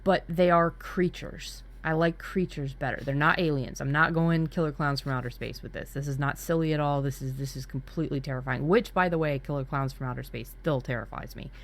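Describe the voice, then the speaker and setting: A woman's voice: deep voice, fairly quick, hardly any pauses. A woman in casual brainstorming chat as a workshop co-host, talking candidly and dramatically, with some swearing. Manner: candid, sweary, dramatic about spooky ideas.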